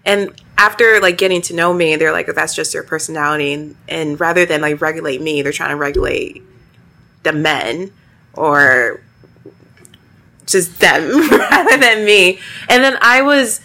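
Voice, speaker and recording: A young woman with a high voice, a podcast guest who chats freely, laughs often and trails off mid-thought. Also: unhurried, bright, forceful tone. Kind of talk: casual podcast conversation.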